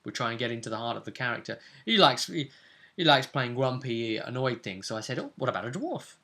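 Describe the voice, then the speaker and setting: A man's voice: medium pitch, big pitch swings, brisk, bright, forceful tone. A man chatting casually as game master and host, recapping a tabletop roleplay session.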